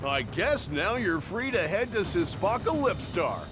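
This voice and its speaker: Gushy excitable cartoon sidekick for a game, super animated, drawn out words, music blaring behind. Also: medium pitch, a little slow. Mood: amazed, admiring. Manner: gushing, exclamatory. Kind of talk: cartoon video game acting.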